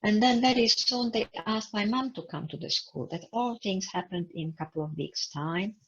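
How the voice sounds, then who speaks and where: A woman in her eighties from Serbia giving personal testimony over a video call, speaking slowly in halting phrases. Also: mid-range voice, a bit bright, slightly rough. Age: 80s. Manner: slow, halting, non-native phrasing. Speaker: Holocaust survivor interviewee. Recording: dull lo-fi video call audio.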